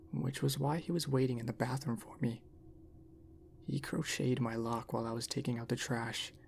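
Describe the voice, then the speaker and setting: A man narrating a story in an ASMR style, reading in a measured, unhurried way. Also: mid-range voice, gravelly, slight background noise.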